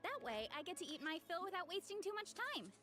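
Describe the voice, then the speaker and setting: A woman voicing an energetic, hearty young girl in a video game, chirpy and earnest. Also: extremely high pitch, fast talker. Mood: upbeat, eager. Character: energetic, hearty young girl who loves food, training and orchards. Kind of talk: scripted video game character acting. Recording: clean voice under loud game music. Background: game music.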